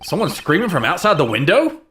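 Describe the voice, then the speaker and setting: A man's voice: on the high side, sing-song, brisk, a bit bright, slight background noise. A man playing an earnest, jumpy young defense attorney in a dramatic game fandub. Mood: startled. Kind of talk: dramatic game fandub, inner monologue and dialogue. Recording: music under the voice. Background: game music.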